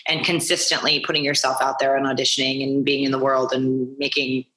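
A woman's voice: rumbling low, flat delivery, brisk, a bit bright, low-quality recording. A woman hosting a podcast in a casual, effusive and affectionate conversational way. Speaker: podcast host. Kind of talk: casual conversational interview and sponsor read.

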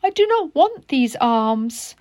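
A woman's voice: sits high, very animated, slow pace, a little mellow, no room sound, studio quality, full character voice. A woman doing a begging lovesick tadpole boy. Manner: begging, earnest. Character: lovesick young male tadpole, apologetic.

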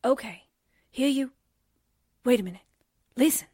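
A woman's voice: high voice, a little slow, warm tone, slightly rough, no echo, clean studio sound. A woman playing a plucky but jittery newspaperwoman sidekick, slangy and wisecracking, in 1940s radio drama style. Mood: nervous bluster. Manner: wisecracking, blustering, folksy.

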